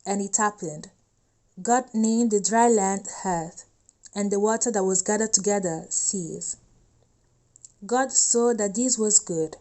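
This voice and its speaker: A woman's voice: mid-range voice, takes it slowly, mellow. A woman giving a scripted reading of a scripture story. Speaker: volunteer scripture reader.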